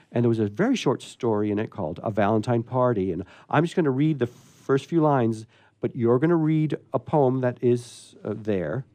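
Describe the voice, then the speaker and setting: A man hosts a radio theatre show in a mellow, lively voice that moves freely up and down. He rambles playfully between chat and readings with a theatrical touch. The sound is close and dry. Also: mid-range voice, dry recording. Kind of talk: live chatty hosting with readings aloud.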